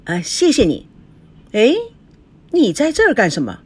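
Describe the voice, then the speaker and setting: A woman's voice: medium pitch, huge pitch swings, a little mellow, breathy edge, voice pushed up, heavily acted. Woman playing a flustered, placating male manager. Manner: halting, sighing, pleading.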